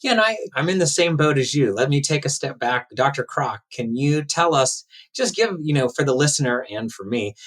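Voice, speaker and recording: A man's voice: medium pitch, a bit bright. A man hosting an interview podcast, speaking in a conversational style as he introduces and questions guests.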